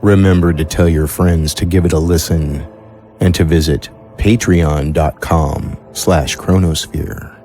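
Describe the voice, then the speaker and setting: A man reading a scripted audio drama outro in a deliberate, solemn way. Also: extremely low pitch, fairly even intonation, mellow, faint music behind. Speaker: audio drama creator, announcer.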